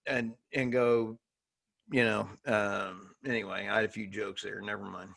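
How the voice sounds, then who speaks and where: A man co-hosting an energy industry talk show with casual, jokey commentary delivered in halting bursts. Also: on the low side, flat delivery, creaky, a bit bright, no echo. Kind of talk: casual commentary and joking banter.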